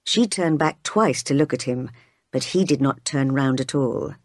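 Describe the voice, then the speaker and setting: Audiobook narrator, medium pitch, expressive with dialogue, close dry recording. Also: measured pace, no echo. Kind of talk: scripted storybook narration, read aloud.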